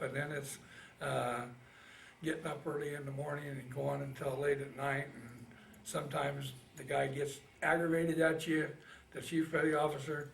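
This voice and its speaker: A man of about eighty from Indiana with a Midwestern American accent, recalling his past in a halting, folksy way as an interviewed Navy veteran. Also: a little high, vocal fry, a bit bright. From Indiana, United States. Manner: halting, folksy plain speech. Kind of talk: casual oral history recollection. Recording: roomy, distant mic, faint noise.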